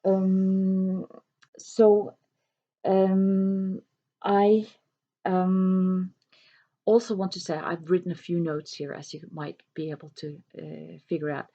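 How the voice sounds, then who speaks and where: A woman whose first language is Norwegian, an author talking to camera in a slow, hesitant way with many pauses and fillers. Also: medium pitch, flat delivery, mellow, dull audio. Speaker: self-published author. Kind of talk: reflective talk to camera.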